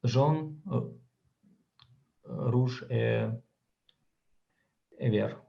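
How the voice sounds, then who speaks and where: A man serving as meeting timekeeper, speaking hesitantly with many pauses over dull, lo-fi video call audio. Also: mid-range voice, gravelly. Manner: hesitant, full of pauses and fillers. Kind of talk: brief meeting report.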